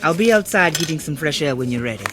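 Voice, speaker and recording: An actress playing a sly waitress who runs a swamp clam bar, taunting and playfully sardonic, in an audio drama. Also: female voice, sits low, expressive, quick pace, music in the background. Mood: brisk, flirtatious. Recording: clearly noisy outdoor night ambience with insects. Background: crickets and insects.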